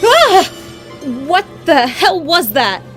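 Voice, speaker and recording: An actress playing a sour, proud young army officer with a blunt manner in a visual novel. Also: female voice, very high-pitched, very animated, slow, heavily acted. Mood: alarmed. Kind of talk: visual novel character acting. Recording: loud music behind the voice. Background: music.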